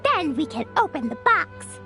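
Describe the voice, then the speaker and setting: A woman voicing a playful, excitable childlike little character in a video game, with a cutesy, exuberant manner. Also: high voice, normal speed, vocal fry, music in the background, heavily acted. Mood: excited. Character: excitable, playful little girl and tiny fairy companion, childlike.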